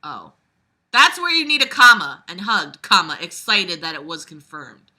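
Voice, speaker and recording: Exasperated woman with a very bright voice. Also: lowish voice, very animated, normal speed. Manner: exasperated, blunt, sweary. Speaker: book reviewer reading aloud and critiquing. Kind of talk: casual sarcastic commentary while reading a novel aloud.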